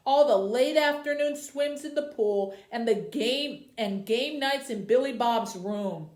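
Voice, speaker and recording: A woman with a high voice works as a library host for young viewers. Her pitch moves up and down in an expressive storytelling style. The room adds noticeable echo around her words. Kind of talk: reading a children's novel aloud, then addressing young viewers. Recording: roomy, echoey indoor sound.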